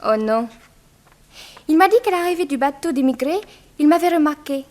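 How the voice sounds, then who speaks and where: Proud teenage immigrant girl, high-pitched, cheeky, self-assured, 1960s French radio drama. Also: expressive, normal speed, a little mellow. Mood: cheeky, chatty. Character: bold, proud eighteen-year-old Russian immigrant girl.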